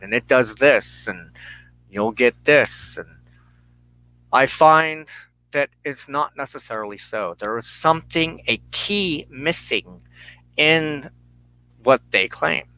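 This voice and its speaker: Slow, deliberate man with a bright voice. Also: mid-range voice, dry recording, rough recording. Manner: halting, deliberate phrasing. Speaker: host of a spiritual broadcast. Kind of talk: reflective spiritual talk.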